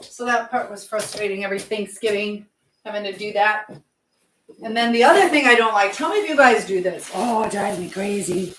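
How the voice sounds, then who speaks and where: A woman's voice: mid-range voice, a little fast, a bit bright, slight background noise, rough recording. Rambling, chatty woman livestreamer. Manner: rambling, chatty, hops between topics and viewers. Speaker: livestream host. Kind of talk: casual live chat, answering viewer comments.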